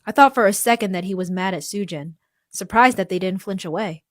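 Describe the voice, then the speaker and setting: Woman as a mid-pitched young tinkerer. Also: fairly quick, noisy background, natural voice. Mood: reflective. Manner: casual, hesitant in dialogue, reflective in narration. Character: guarded, awkward young tech tinkerer and narrator. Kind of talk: audio drama acting and first-person narration.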